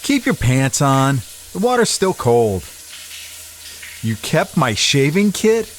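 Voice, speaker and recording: A man's voice: on the high side, sing-song, slow, a little hiss or hum. A man playing a cocky, wisecracking older wise guy in a noir audio drama, teasing and cocksure. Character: cocky, wisecracking aging gangster-era man, charming ex-husband. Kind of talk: hardboiled noir radio drama acting.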